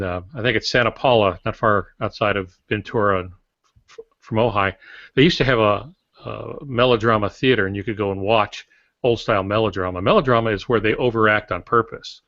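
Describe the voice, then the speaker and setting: A man's voice: on the low side, fairly bright tone, no room sound. An older man co-hosting a writing podcast in casual, instructional discussion, telling anecdotes to make his points. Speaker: podcast co-host and writer. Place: small indoor home office. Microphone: video call. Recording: dull, lo-fi video call audio.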